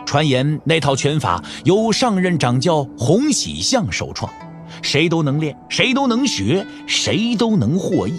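A man narrating an audio drama from a script in an expressive storytelling manner. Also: fairly high pitch, unhurried, faint music behind.

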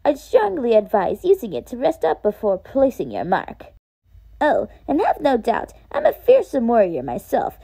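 A woman's voice: fairly high pitch, wildly swooping pitch, normal speed, vocal fry, warm tone, husky, heavily acted. A woman voicing a small, boastful warrior bug from a video game, grandiose and chatty. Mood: proud, eager. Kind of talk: fan dub of video game dialogue.

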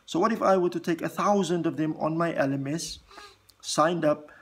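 A man's voice: a little high, moderate pace, a bit bright. A South African man in his forties, whose first language is Afrikaans, talking straight to the camera in an earnest, rambling way with lots of fillers and restarts, filmed up close indoors. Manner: earnest, thinks aloud with many fillers and restarts. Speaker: vlog host. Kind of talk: casual direct-to-camera talk.

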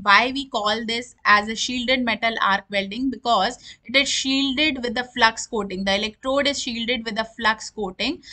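Woman lecturer, high bright voice, says things twice in easier words. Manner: explanatory, restates terms in simple words. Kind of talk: technical lecture over slides. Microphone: voice-over.

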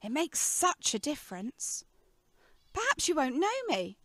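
A woman's voice: high voice, sing-song, unhurried, fairly bright tone, no echo, heavily acted. A woman playing a playful, affectionate teenage daughter in a radio play, teasing and coaxing in a girlish way.

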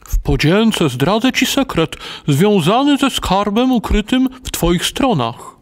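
An actor playing a grateful, dignified elderly knight in an audio play, speaking in a measured, ceremonious way. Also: male voice, high voice, very animated, full character voice. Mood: solemn, grateful. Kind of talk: dramatic audio play acting.

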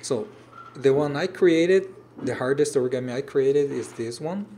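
A man's voice: mid-range voice, normal speed, warm tone, slight background noise. A man in his thirties with a Brazilian Portuguese accent, Portuguese his native language, casually chatting on a home livestream and stopping often to search for English words. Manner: hesitant, restarts phrases while searching for English words. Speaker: origami designer hosting a livestream. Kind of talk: casual livestream chat reading viewer comments. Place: home room with shelves, indoors.

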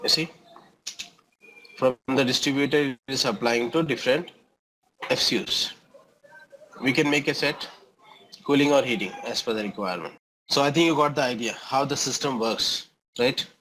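Indian guy teaching engineering, middle aged, normal pitch, keeps checking you get it. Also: normal speed, pauses often, fairly bright tone, a little hiss or hum, low-quality recording. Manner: checks understanding with short tags and questions. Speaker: engineering instructor. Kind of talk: explanatory classroom lecture.

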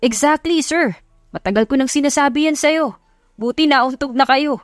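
A woman's voice: sits high, moderate pace, dry recording, studio quality, faint music behind, pitched above the performer's own voice, natural voice. A woman voicing a cheeky male assistant who banters playfully with his boss in an audio love story. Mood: teasing.

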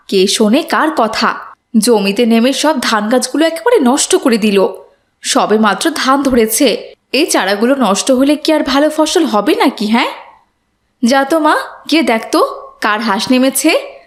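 A woman voicing an exasperated farmer's wife and mother in a moral cartoon, nagging with indignant questions. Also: a little high. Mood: fretful.

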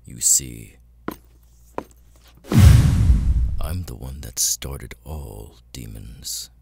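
A man voicing a cold, arrogant immortal demon lord in an ASMR roleplay, speaking with deliberate, condescending menace. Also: exaggerated voice. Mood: imperious. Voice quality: very deep, gravelly, husky. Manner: slow, deliberate, condescending, with long pauses.

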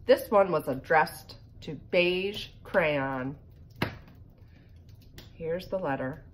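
A middle-aged woman reads a picture book to children at an unhurried pace, in a fairly low voice. She breaks off for casual asides. It is a home phone recording with faint noise behind her. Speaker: read-aloud reader for children. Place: living room by a fireplace. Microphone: phone propped in front of her.

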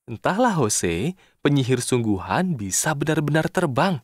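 A man voicing a cautious, doubtful off-screen friend and narrator in a children's cartoon, questioning and gently admonishing. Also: on the high side, very animated, measured pace, slight background noise.

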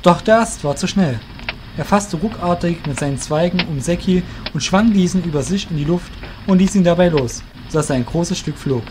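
A man's voice: high-pitched, moderate pace, background noise. Male narrator of a fantasy story. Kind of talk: scripted fantasy audio drama narration.

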